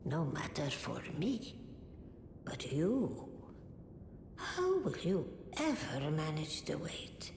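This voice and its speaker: In a reverberant hall over loud rumble, an ancient oracle crone speaks in a low, breathy, aged voice. She is cryptic and portentous, drawing out each phrase very slowly, as in a dark fantasy game. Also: big pitch swings, exaggerated voice. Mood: grave, resigned. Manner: slow, portentous, musing. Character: ancient blind crone, oracle serving the fingers, cryptic and grave. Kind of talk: theatrical fantasy game acting. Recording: echoey hall, loud rumbling background noise.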